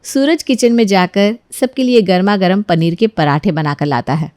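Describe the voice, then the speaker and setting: A woman narrates a story from a script. Her pitch is mid-range for a woman, and the sound is very dry, with no room around the voice. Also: moderate pace, darkish tone, no echo.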